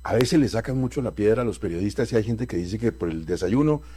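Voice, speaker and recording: A man's voice: on the low side, expressive, quick pace, dark tone, slight background noise. A man working as an interviewer, putting probing questions and interjecting in a conversational way.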